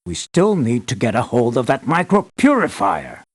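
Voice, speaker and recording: An actor playing an earnest, measured male leader giving formal, deliberate briefings in a scripted video game. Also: medium pitch, wildly swooping pitch, slightly rough. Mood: urgent, concerned. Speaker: mission briefer.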